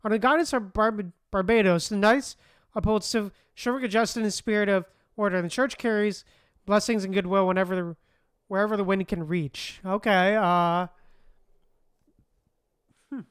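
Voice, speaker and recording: A woman's voice: medium pitch, fairly even intonation, moderate pace, clear, resonant tone, dry recording, studio quality. A woman streaming a game, casually commenting and reading dialogue aloud, correcting slips as they come.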